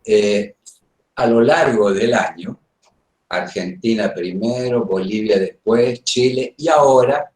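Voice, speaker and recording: A man giving an informal online lecture as a guest, speaking reflectively and pausing between phrases. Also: on the low side, darkish tone. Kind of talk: informal spoken lecture opening. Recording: roomy, echoing online call.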